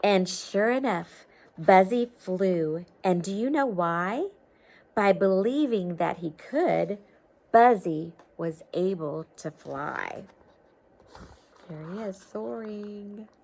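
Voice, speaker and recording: Expressive, unhurried woman reading to children. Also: medium pitch, creaky. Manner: simple encouraging explanations with checking questions. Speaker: storybook reader for children. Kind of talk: expressive picture-book read-aloud with chatty asides to young listeners.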